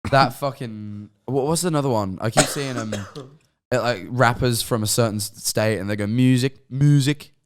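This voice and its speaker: A man's voice: mid-range voice, normal speed. A young man with an East Midlands English accent, chatting casually on a stream, rambling and swearing with lots of fillers. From East Midlands, England.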